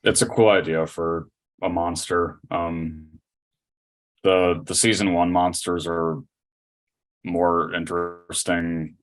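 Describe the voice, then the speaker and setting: A man with a low voice and very flat intonation speaks as a guest on a podcast. His delivery is informal and conversational, like someone casually discussing a hobby. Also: takes it slowly. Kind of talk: casual podcast chat about anime.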